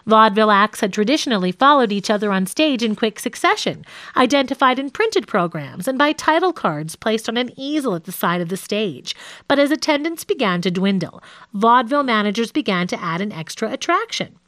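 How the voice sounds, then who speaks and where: A woman reading a nonfiction audiobook as a scripted narration, with measured, clearly phrased delivery. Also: a little high, sing-song, a bit bright, no room sound.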